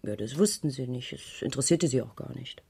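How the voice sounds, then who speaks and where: An actress in a German radio crime play playing an uneasy married schoolteacher who gives a hesitant, detailed account to police. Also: female voice, very deep voice, brisk, gravelly, darkish tone, slightly rough, full character voice. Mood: uneasy, recounting. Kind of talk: 1980s German radio drama dialogue.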